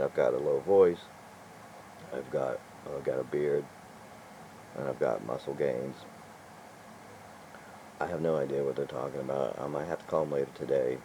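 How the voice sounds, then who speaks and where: A man's voice: extremely low pitch, dark tone, a little hiss or hum, low-quality recording. An older man talking casually in a vlog, rambling and exasperated, with chuckles and restarts along the way. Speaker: vlogger.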